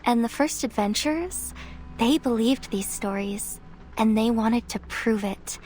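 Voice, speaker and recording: A woman voicing a determined, wistful young explorer in a video game, speaking in a measured, reflective and earnest way. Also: a little high, a little mellow, a little hiss or hum, natural voice, rough recording. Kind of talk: scripted game character voice lines. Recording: music behind the voice.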